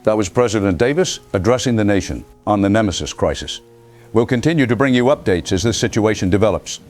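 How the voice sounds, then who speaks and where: A man's voice: fairly low pitch, expressive, fast talker, a little mellow, faint music behind, close to an everyday voice. A man playing a businesslike news anchor, delivering neutral scripted broadcast updates in an audio drama.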